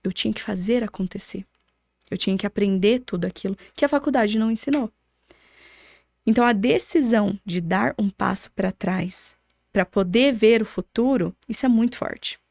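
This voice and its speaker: Casting: young Brazilian woman, mid-pitched, conversational and motivational interviewee, emphatic, dry close sound. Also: normal speed, vocal fry, darkish tone, no room sound. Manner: emphatic, asks and answers own rhetorical questions. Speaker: podcast guest, architect.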